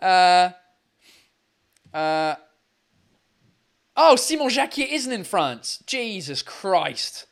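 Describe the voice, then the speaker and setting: A man co-hosting a livestream quiz, chatting casually with viewers in a loud, laughing, swearing style full of fillers. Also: high-pitched, very animated, drawn-out words, pauses often. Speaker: livestream co-host running a quiz.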